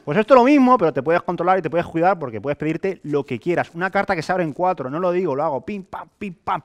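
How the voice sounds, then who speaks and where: A young man presents to a live audience in a Spain Spanish accent. His voice sits a little high for a man. He talks colloquially and animatedly, throwing out rhetorical questions and everyday examples as he sells. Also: expressive, quick pace. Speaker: sales presenter. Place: hotel meeting room with a small audience, indoors.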